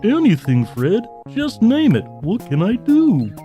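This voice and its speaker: A man voicing an earnest, grieving talking bull with a mellow voice and a slow delivery in an audio horror comedy. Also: high voice, huge pitch swings, highs cut off, faint music behind, heavily acted. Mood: anxious, eager. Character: earnest, grieving talking bull seeking his wife's return.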